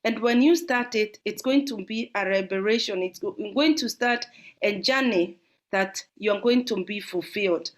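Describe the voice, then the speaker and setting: A woman's voice: medium pitch, normal speed. A middle-aged woman from Kenya with a Kenyan accent, earnestly encouraging parents during a discussion. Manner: earnest and encouraging, talks in long flowing runs. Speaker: audience member asking a question. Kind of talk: heartfelt spoken comment in an online discussion.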